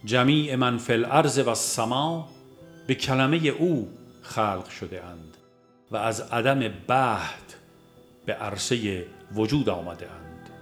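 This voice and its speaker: A man narrating a religious audiobook in a measured, formal way, phrase by phrase. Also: mid-range voice. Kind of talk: scripted audiobook reading of a religious book. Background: music.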